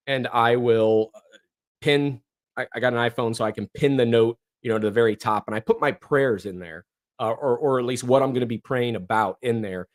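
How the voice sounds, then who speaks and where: Hoarse man hosting a casual livestream. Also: medium pitch. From northeastern Ohio. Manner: rambling, many fillers and restarts. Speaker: livestream host. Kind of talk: casual livestream chat reading comments.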